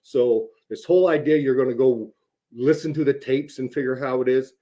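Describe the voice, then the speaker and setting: A man's voice: medium pitch, normal speed, mellow. A man speaking off the cuff in a blunt, emphatic rant, insistently repeating himself for stress and swearing casually. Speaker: online video host. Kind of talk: unscripted rant to camera, addressing a rival.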